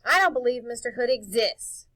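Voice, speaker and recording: A woman's voice: fairly high pitch, animated, moderate pace, fairly bright tone, no echo. A woman voicing a bold, curious young boy in a storybook, with insistent, challenging questions.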